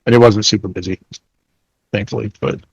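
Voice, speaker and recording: A man with a fairly low voice talks as a podcast co-host. The sound is dry, with no room around it, and his delivery is informal and conversational. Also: steady tone, brisk, vocal fry, breathy edge, no room sound, dull audio. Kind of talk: casual chat.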